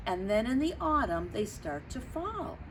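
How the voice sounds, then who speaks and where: A woman reading a picture book aloud to children, expressive and dramatic, stretching words and chatting to her young listeners. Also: on the high side, unhurried. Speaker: storyteller for children. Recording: clearly noisy background.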